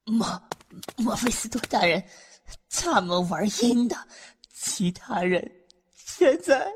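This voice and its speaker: A woman's voice: fairly high pitch, expressive, slow, slight background noise. A woman voicing a nervous, stammering demon minion reporting to its master. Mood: flustered. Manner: stammering, timid. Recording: long hall reverb.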